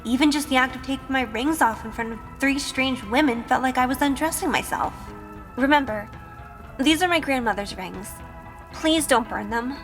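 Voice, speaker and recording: A woman's voice: sits high, moderate pace, fairly bright tone, big-room sound. A woman playing a timid, sheltered young woman in an audio drama, hesitant and anxious. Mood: nervous, shaken. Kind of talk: audio drama acting and first-person narration. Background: music.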